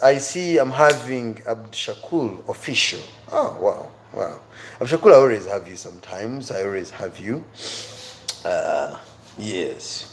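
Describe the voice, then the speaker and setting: A man's voice: medium pitch, slight background noise. A man with an East African accent teaching a live online English class informally, emphatically repeating key words and phrases. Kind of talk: informal live-stream lesson, addressing viewers by name.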